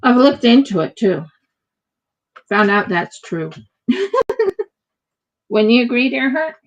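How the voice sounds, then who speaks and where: American lady rambling on a craft livestream, real slow talker, bit muffled mic. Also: fairly high pitch, very animated. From United States. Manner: rambling, repeats words. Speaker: craft streamer. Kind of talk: casual chat while crafting on a livestream.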